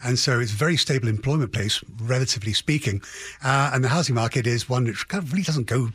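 A man speaking as an expert guest in a radio interview, analysing in a halting way with qualifiers and emphatic restatements. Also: mid-range voice, a bit bright, husky, no echo. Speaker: housing economist guest.

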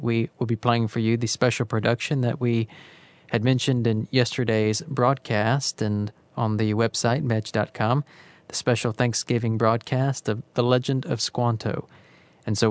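A man's voice: mid-range voice, smooth, no echo. A man giving a formal, scripted introduction as a radio announcer.

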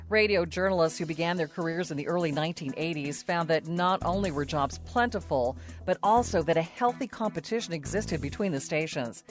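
A woman radio reporter reading scripted documentary narration for a news feature. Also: on the low side. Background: music under the narration.

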